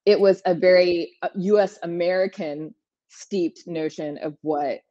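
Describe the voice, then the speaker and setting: A woman moderating a talk, asking long, winding questions in a relaxed conversational way. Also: on the low side, a little slow, no room sound, dull audio. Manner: long rambling questions with light laughs.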